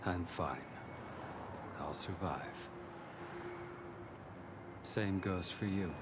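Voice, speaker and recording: A cold, brooding killer from a video game, with an extremely deep voice. He talks slowly in curt, weary, deadpan lines, with loud vehicle noise underneath. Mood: curt, grim. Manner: deadpan, terse, grim. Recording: loud vehicle rumble behind voice.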